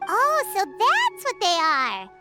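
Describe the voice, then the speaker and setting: Super high squeaky little game sidekick girl, excitable, bouncy swings, music behind. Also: slow pace, exaggerated voice. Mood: curious. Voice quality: squeaky, childlike. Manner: refers to self in third person, exclaiming. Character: tiny, excitable, childlike floating companion girl. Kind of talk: animated video game dialogue.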